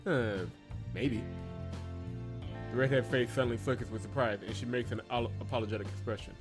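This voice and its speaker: A man with a mid-pitched voice reads a visual novel aloud as a let's play host. He slips into exasperated, sarcastic asides about what he reads. Music plays clearly behind him. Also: fairly even intonation, very fast. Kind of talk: reading game text aloud with off-script commentary. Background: background music.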